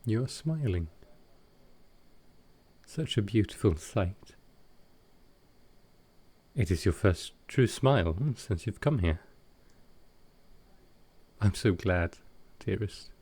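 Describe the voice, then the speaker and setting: A man playing a gentle, awkward male vampire in an intimate comfort roleplay, speaking tenderly and haltingly. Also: lowish voice, animated, slightly rough, exaggerated voice. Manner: halting, hesitant, tender, soft-spoken.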